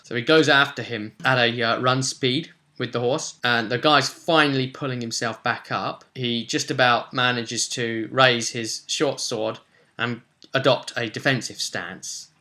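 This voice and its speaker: A man's voice: mid-range voice, unhurried, pushed and bright. A man, casually recounting a tabletop roleplay session as game master in a rambling, colloquial way.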